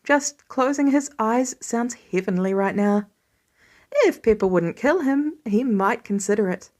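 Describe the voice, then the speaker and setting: A woman reading fan fiction aloud as an expressive audiobook-style narrator. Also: fairly high pitch, unhurried. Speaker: podfic reader.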